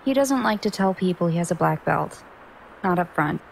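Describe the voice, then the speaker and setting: Creaky-voiced loyal magician. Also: female voice, fairly low pitch, animated, moderate pace, a little hiss or hum, poor audio. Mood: fond, explaining. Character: female stage magician, caring and loyal. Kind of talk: audio drama acting.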